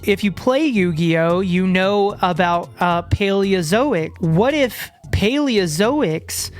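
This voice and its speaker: Excitable, animated male horror host. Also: high voice. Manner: excitable, animated, with stammered restarts and loud outbursts. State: losing his voice. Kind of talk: enthusiastic solo video commentary.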